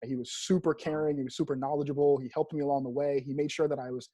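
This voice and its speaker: Fast-talking American man with a mellow voice. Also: on the high side, fairly even intonation, dry recording. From Rhode Island, United States. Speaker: guest, real estate agent. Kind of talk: casual personal storytelling in an interview.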